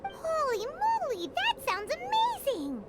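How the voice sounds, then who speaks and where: A woman voicing a small, cheeky, excitable childlike fairy companion in a video game, with a squeaky voice and playful exclamations. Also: slow, exaggerated voice. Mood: excited. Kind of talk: video game character acting. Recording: background music under the voice.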